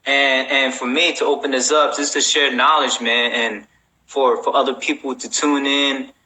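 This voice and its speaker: A man's voice: medium pitch, flat delivery, normal speed, sharp tone, a little hiss or hum, low-quality recording. A man hosting a casual chat in a loose, slangy, rambling way with frequent check-ins. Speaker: host.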